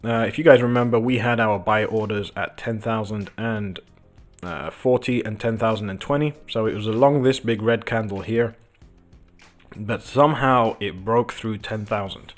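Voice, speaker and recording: A man hosting a casual livestream from his home office, croaky from just waking up, rambling with lots of ums as he talks to viewers and the chart. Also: fairly low pitch, steady tone, normal speed, faint music behind. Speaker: crypto livestream host. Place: home office with window open.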